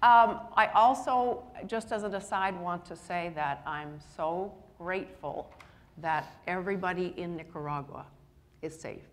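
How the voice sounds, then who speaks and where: A woman's voice: on the low side, a little slow, a little hiss or hum. Upbeat woman moderating a panel in a hall. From Canada. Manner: enthusiastic, upbeat introductions. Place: indoor conference stage with audience.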